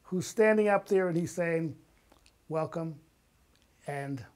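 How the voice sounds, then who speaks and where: An older man chats as an interview guest. His voice sits slightly high for a man. He tells his stories in an animated, enthusiastic way, like casual recollection. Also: slow. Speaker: guest, writer and filmmaker.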